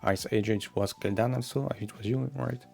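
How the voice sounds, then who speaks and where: A man hosting a casual developer stream, talking in a relaxed way with frequent fillers. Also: on the low side, fairly quick, creaky, soft background music. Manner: relaxed, filler-heavy, occasional laughs. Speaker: game developer and stream host. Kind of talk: casual dev stream chat.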